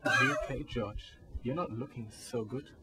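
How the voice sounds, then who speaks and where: A man acting a kind, brave young soldier in a radio drama, with a calm, measured manner. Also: mid-range voice. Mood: concerned, resigned. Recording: noisy student radio drama, ambience behind.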